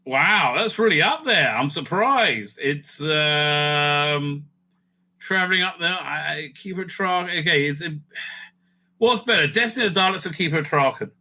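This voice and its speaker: A man with a very bright, forceful voice pitched a little high. He talks casually as a video host, thinking out loud as he ranks things. He often breaks off and restarts his sentences in a loose, rambling way. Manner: rambling, thinking aloud, self-interrupting. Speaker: video host ranking TV stories. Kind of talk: casual, off-the-cuff ranking commentary.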